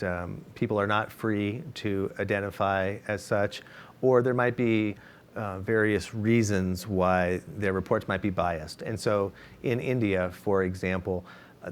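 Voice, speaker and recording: A man with a lowish voice explains research findings at a panel discussion, careful and methodical, often pausing with fillers and restarting his sentences. A faint low rumble sits behind him.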